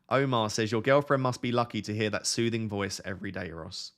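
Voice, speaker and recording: A young man hosting a fantasy football live stream, reading viewer questions aloud and answering them in a relaxed, soothing voice. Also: lowish voice, normal speed. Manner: self-described relaxing, soothing voice. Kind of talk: casual live stream chat.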